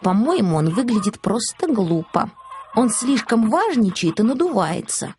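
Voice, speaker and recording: A woman's voice: mid-range voice, big pitch swings, measured pace, mellow, slight background noise. A woman voicing a young male kitten for a children's story, grumpy, jealous, whining and muttering complaints. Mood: grumpy, scornful.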